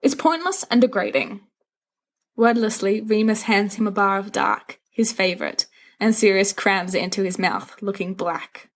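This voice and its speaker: A woman's voice: medium pitch, level tone, normal speed. A woman narrating a story from a script, reading expressively as for an audiobook. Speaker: audiobook reader of fan fiction.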